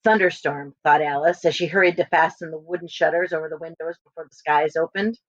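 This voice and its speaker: A woman's voice: low-pitched, steady tone, fast, dry recording, highs cut off. A woman reading a children's novel aloud expressively as a teacher, speaking to students and checking in with them.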